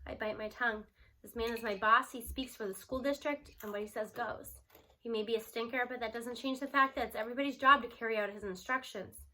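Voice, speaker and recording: High, bright woman reading a story aloud. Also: steady tone, fairly quick. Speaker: read-aloud narrator. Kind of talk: expressive storybook read-aloud in first person.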